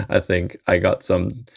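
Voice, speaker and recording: A man in his thirties, a software maintainer being interviewed, talking in a thoughtful way and chuckling often mid-sentence. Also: low voice, slow, gravelly, breathy edge, no room sound. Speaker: open source maintainer, interview guest. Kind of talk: casual interview chat.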